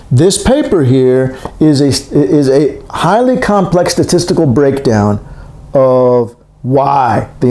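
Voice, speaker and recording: A man's voice: a little high, a little mellow. Man, 60s, economics lecturer, conversational, self-correcting, echoey room. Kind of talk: recorded lecture. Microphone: recorded for a video lecture.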